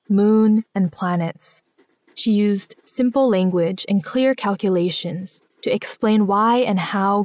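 A woman reading a scripted biographical story for children in a measured, clearly enunciated storytelling way. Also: mid-range voice, a little mellow, dry recording. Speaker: narrator of a children's biography podcast.

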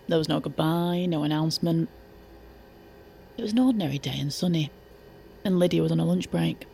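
A woman's voice: low-pitched, creaky, a little mellow, slight background noise. A woman as the composed, intimate narrator of a scripted audio fiction story.

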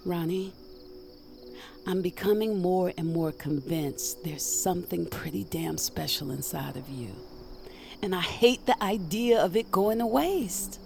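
A woman's voice: lowish voice, a little slow, creaky, darkish tone, slight background noise. An actress playing a seasoned magic user in an audio drama, a frank and protective mentor who teases as she instructs. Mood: earnest.

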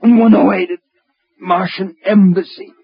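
A nervous private eye in an old radio thriller, stammering and halting as he talks. His voice is very high for a man, on a dull, hissy vintage recording. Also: steady tone, gravelly, husky, no echo, heavily acted. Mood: desperate pleading. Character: desperate, drugged male private detective, pleading and frightened. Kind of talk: old-time radio drama acting.

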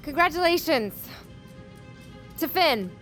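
An actress playing a gracious, admiring woman at a dinner party in an audio drama, informal and halting. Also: high-pitched, animated, fairly bright tone, faint music behind, exaggerated voice. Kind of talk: modern audio drama acting.

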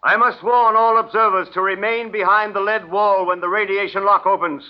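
A man's voice: sits high, steady tone, normal speed, natural voice. A man playing a stern, coldly formal military colonel in a 1950s radio drama, speaking in commanding, clipped phrases. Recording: muffled vintage radio recording.

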